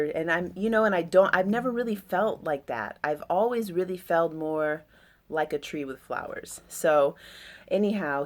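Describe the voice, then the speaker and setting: A woman with a deep voice talking about astrology in a loose, rambling, reflective way with many fillers. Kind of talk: casual astrology talk.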